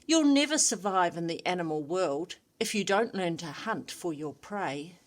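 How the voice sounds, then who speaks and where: A woman voicing a stern but loving mother lioness in a children's story, firm and deliberate. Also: lowish voice, lively intonation, close to an everyday voice. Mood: worried.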